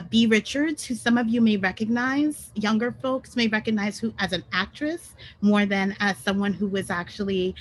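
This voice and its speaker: A woman's voice: mid-range voice, a little hiss or hum, poor audio. A woman whose first language is French, speaking as a guest on an online panel webinar in a conversational way with many ums and self-corrections, over a video call.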